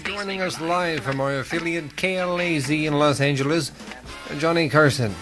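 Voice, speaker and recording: Casting: actor as earnest male news anchor for radio satire, highish voice, formal and measured, exasperated underneath. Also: music in the background, natural voice. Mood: formal, composed. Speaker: host of a parody news broadcast. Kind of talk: satirical radio theater, broadcast interviewing.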